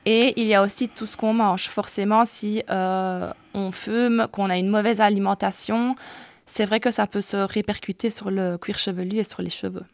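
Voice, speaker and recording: Earnest woman with a gravelly voice. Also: medium pitch, fairly even intonation, normal speed, no room sound. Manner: earnest, hesitant with many "euh". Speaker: hairdresser and salon director. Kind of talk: radio interview guest.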